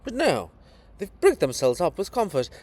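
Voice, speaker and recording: A man's voice: high-pitched, wildly swooping pitch, moderate pace, a little mellow, exaggerated voice. A man playing the statue of an old fatherly poet, declamatory and anguished, in a dramatic reading. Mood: ranting, indignant. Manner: declamatory, rhetorical. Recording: clearly noisy background.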